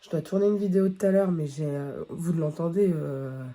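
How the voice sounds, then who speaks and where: A woman's voice: sits low, moderate pace, dark tone. A woman vlogging at home with a head cold, talking casually and rambling with many fillers and restarts. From France. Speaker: beauty vlogger. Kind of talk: casual chat to camera. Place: at home, early morning.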